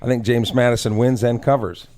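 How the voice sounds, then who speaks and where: A man's voice: mid-range voice, steady tone, a little fast, darkish tone. A man on a sports radio panel chatting casually, giving confident, emphatic opinions.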